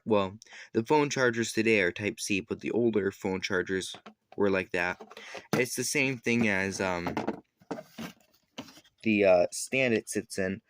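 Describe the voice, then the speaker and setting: A man with a fairly low voice talks through a product review in an informal, unscripted way. He explains step by step, a little haltingly, often backing up to correct his wording. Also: dry recording. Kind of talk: casual unscripted product demonstration.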